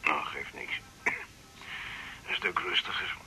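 A man's voice: extremely low pitch, slow, pushed and bright, exaggerated voice, low-quality recording. An actor playing an older father in a 1980s radio drama, speaking in a dry, matter-of-fact way. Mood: calm.

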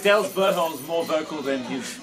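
Wisecracking male musician, loud music behind. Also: a little high, expressive, brisk. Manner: wisecracking, crude one-liners. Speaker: band member. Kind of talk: casual joking chat. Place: venue before a show. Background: music playing.

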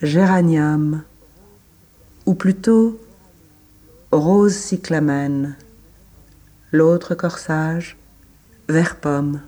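A man reciting literary prose for a radio play, measured, pausing between short phrases. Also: on the high side, fairly even intonation, mellow. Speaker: reader.

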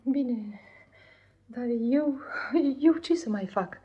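An actress playing a meek, anxious, affectionate young wife in a radio play, pleading and hesitant in an apologetic way. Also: female voice, a little high, slightly rough, phone-quality sound. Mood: timid protest. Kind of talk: 1950s radio theatre acting.

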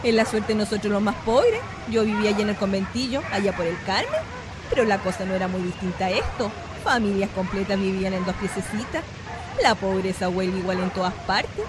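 An actress portrays a poor, plain-spoken Chilean mother in a radio drama. She speaks at a middling pitch in a resigned, neighbourly, colloquial way. A crowd and clear noise sit behind her. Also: animated, a little fast, lightly acted. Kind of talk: Spanish-language radio drama acting. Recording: noisy track with crowd, dogs, street ambience.

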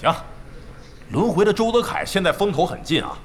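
An actor in a radio drama playing a wry, teasing veteran esports team member with a theatrical, exclamatory manner. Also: male voice, sits high, very animated, a little fast. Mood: wry analysis. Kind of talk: Chinese radio drama acting.

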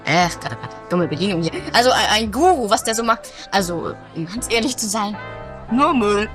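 A man playing a grumpy, banished guru in a comedy radio play, with a halting, dry, grumbling delivery. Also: high voice, expressive, fairly bright tone, soft background music.

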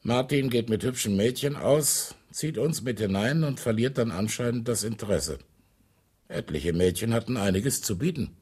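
A man playing a blunt, teasing older father with a husky voice and a dry, probing manner in a German radio drama. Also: medium pitch, lively intonation, normal speed, darkish tone.

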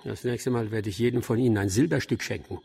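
Gravelly, wry older official. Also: male voice, lowish voice, dark tone. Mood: sardonic. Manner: dry, sardonic, measured. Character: wry, shrewd older male official, dry and curious. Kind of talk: German radio drama acting.

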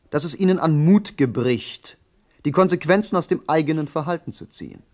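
A man's voice: mid-range voice, darkish tone, natural voice. An actor playing a haughty, accusing male duel challenger in a radio drama, formal, pompous and cutting.

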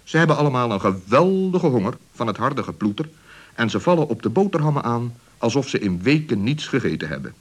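A man narrating a scripted children's radio story as an animated storyteller. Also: mid-range voice, a little fast, husky.

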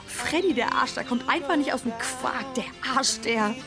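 A woman's voice: high-pitched, moderate pace, over loud music. An actress playing a defiant, bitter young village woman in a radio drama, blunt, slangy and foul-mouthed. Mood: furious, bitter. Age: young adult.

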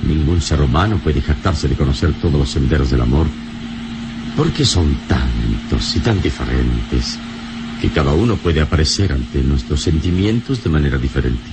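An actor playing a serene, gallant adventure hero in an old radio serial, speaking in an unhurried, courtly, philosophical way. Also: male voice, rumbling low, animated, background noise. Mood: solemn, romantic.

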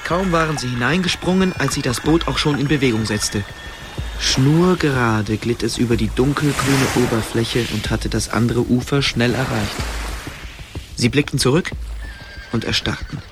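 A man's voice: medium pitch, moderate pace, music in the background. A man narrating a scripted story in a dramatic storytelling style.

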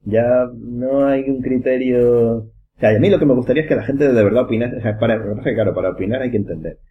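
A man's voice: mid-range voice, fast, lo-fi sound. A man hosting a casual group podcast discussion, opinionated and rambling. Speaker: podcast host.

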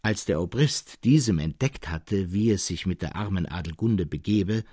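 A man reading a classic literary tale as scripted narration, in an expressive, precise storytelling manner. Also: low voice, moderate pace, slightly rough, no echo, studio quality. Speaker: audiobook narrator.

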